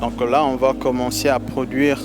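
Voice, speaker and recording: A man's voice: medium pitch, flat delivery, moderate pace, over music. A Congolese man from DR Congo, a festival organizer answering interview questions in a hesitant way, with many filler sounds and repeated words. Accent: Congolese French.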